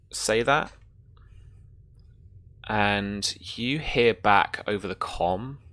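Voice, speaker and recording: A game master improvising narration and rulings for a tabletop roleplaying game, with a halting delivery. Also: deep voice, fairly bright tone.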